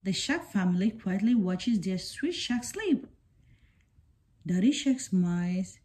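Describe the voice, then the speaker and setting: A woman reading a children's picture book aloud in a deliberate, simple storytelling way for young children. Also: medium pitch, animated, darkish tone. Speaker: storybook reader.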